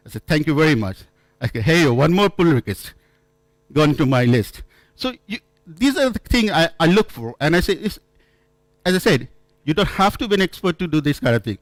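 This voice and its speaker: A man's voice: on the high side, no room sound. A man from India, not an English speaker, giving a conference talk, telling stories with many restarts and self-corrections. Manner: halting, frequent restarts and self-corrections, storytelling. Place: conference room.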